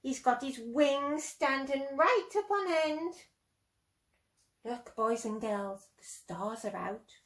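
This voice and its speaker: High, slow woman reading to children. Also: animated. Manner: addresses young listeners directly, demonstrative. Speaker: children's storyteller. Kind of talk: expressive read-aloud narration for young children. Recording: roomy echo.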